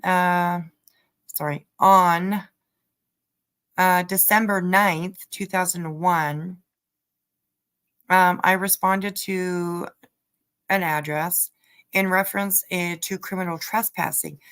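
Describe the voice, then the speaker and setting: Slow, creaky-voiced woman livestreaming. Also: lowish voice, fairly bright tone. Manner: halting, hesitant delivery with trailing asides. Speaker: livestream host. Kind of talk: casual livestream commentary over played clips.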